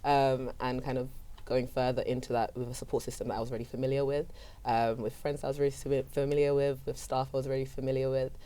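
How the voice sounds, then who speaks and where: A woman's voice: very deep voice, level tone, fast talker, dark tone. A young woman from London, a postgraduate student answering panel questions in a chatty way, often saying "kind of" and "like".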